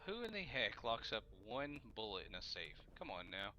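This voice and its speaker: A man's voice: fairly low pitch, sing-song, normal speed, music in the background. A man with a Kentucky accent casually commenting on a game he plays live, chatting easily with viewers and muttering to himself. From Kentucky, USA. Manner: easygoing, mutters to himself while playing, answers chat. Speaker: gaming livestreamer.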